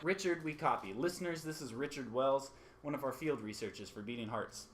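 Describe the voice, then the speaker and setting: A man's voice: medium pitch, brisk, fairly bright tone, lightly acted. A man playing an earnest, puzzled radio news co-anchor in an amateur radio play, with a hesitant, questioning manner. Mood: focused. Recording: faint background noise and ambience.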